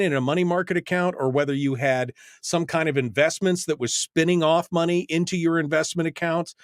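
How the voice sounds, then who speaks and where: A blunt American radio host with a slightly high male voice, recorded close and dry. He talks in an opinionated, emphatic call-in style and likes to hammer a point by repeating it. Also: no room sound. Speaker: radio talk show host.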